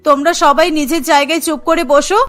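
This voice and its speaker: A woman's voice: high-pitched, steady tone, fast, a bit bright. A woman voicing a caring, firm female village schoolteacher in a children's cartoon, protective of her pupils. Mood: kind, protective. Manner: protective, scolding the children like a teacher.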